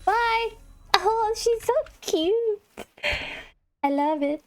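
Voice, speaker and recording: A woman's voice: very high-pitched, lively intonation, drawn-out words, darkish tone. A woman doing casual, cutesy and self-deprecating let's play commentary as a gaming streamer. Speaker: gaming YouTuber.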